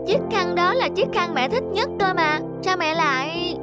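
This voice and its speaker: A woman's voice: very high-pitched, animated, moderate pace, over loud music. A woman voicing a naive, outspoken little girl in a moral-tale cartoon, with a childlike, blunt manner. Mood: upset, protesting.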